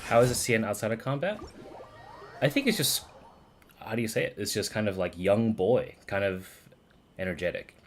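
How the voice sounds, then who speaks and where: A man's voice: fairly low pitch, expressive, moderate pace, pauses often, background noise. A man streaming a game, giving casual, informal commentary and thinking aloud as he goes.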